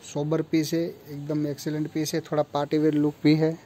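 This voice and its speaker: Young male seller from India, monotone delivery, mellow, slightly high voice, phone recording in a shop. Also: normal speed. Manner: flat, matter-of-fact listing of features. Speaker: kurti factory seller. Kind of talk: product showcase walkthrough. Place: clothing store with fabric shelves.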